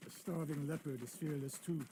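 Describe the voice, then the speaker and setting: A man's voice: a little high, steady tone, normal speed, slight background noise, lightly acted, poor audio. An actor playing a stern, curt older archery master in a game cutscene, scolding and commanding his student. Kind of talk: video game cutscene acting. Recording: game audio with loud music behind.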